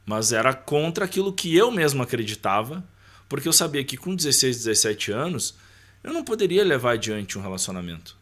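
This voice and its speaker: A man's voice: mid-range voice, big pitch swings, a little fast, fairly bright tone. A man telling personal stories in a casual, conversational way as a podcast guest, often seeking agreement with little tag questions. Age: adult. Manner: conversational, punctuates with tag questions and quotes remembered dialogue.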